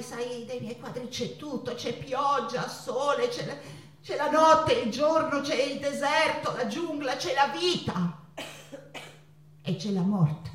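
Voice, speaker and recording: An actress playing an ailing, passionate woman painter in a theatrical monologue, halting and repeating her words with dramatic pauses. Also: a little high. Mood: haunted, weary. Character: ailing, passionate woman painter, tormented yet playful, in love. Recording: roomy, distant stage pickup with guitar. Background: plucked guitar music.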